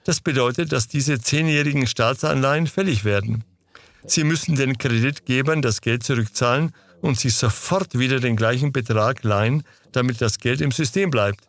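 Gravelly man explaining economics steadily. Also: mid-range voice, normal speed, dry recording. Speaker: interview guest. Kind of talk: explanatory interview answers.

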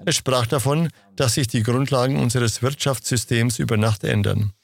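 Gravelly man explaining economics steadily. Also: mid-range voice, normal speed, no room sound. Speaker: interview guest. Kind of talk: explanatory interview answers.

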